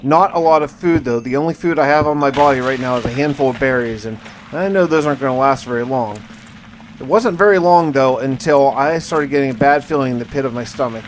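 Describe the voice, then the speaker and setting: A man's voice: medium pitch, a little fast, background noise. A man narrating a gaming survival story as a scripted first-person log, with a dramatic storytelling delivery. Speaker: gaming video host narrating a role-play survival series. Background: music.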